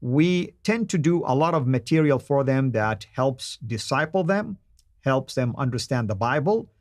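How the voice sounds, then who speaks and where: A man's voice: mid-range voice, dry recording. Earnest Arabic-native man, dry studio sound. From Saudi Arabia. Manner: earnest, emphatic, with repeated phrases. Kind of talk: live-stream address to viewers.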